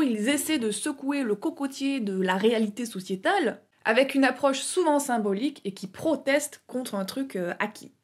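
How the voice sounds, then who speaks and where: Young woman host, slightly high-pitched, chatty explainer with frequent fillers. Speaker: YouTube art explainer. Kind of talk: casual educational video narration.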